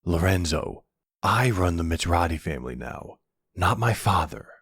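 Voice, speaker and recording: Man playing a low, husky mob boss. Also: expressive, moderate pace, fairly bright tone, no echo, clean studio sound, heavily acted. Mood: firm, sincere. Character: charming, steady young mob boss, protective devoted boyfriend. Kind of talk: ASMR dramatic audio roleplay.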